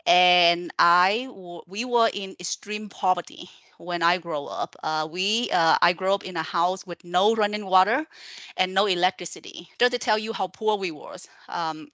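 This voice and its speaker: A Vietnamese-accented woman in her forties, pitched low, leads students through an online presentation. She is earnest and encouraging, and her speech is full of fillers and checks like "okay?". The sound is dry and close, as from a computer mic. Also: moderate pace, pushed and bright, dry recording. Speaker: college professor.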